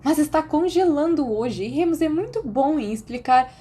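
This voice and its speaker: A woman plays a fiery, self-assured teenage schoolgirl in an audiobook. The voice sits high and comes out cheeky and emphatic, with a dramatic streak. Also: lively intonation, normal speed, warm tone. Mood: indignant. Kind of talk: audiobook character acting.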